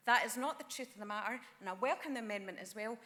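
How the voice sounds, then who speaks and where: A woman's voice: mid-range voice, quick pace, bright, forceful tone, a little hiss or hum. A woman councillor giving an earnest conference floor speech at a lectern, with frequent ums. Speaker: councillor speaking at a party conference. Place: large conference hall. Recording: long hall reverb.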